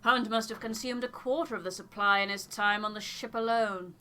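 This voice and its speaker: A woman's voice: medium pitch, steady tone, fairly quick, bright, forceful tone, clear, resonant tone, natural voice. A woman voicing a stiff, aloof male scientist in a game, speaking in a formal, clipped, precise way. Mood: haughty, musing.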